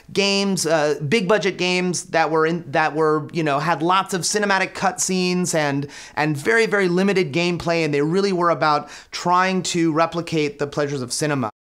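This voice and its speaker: A man's voice: a little high, a bit bright, clean tone. A man, a game designer, talking reflectively in an interview.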